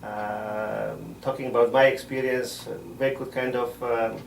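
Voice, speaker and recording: A middle-aged man, a missionary priest answering interview questions in a measured way, often pausing to find words. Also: mid-range voice, little pitch movement, creaky, a little hiss or hum. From Poland. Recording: roomy sound from a distant mic.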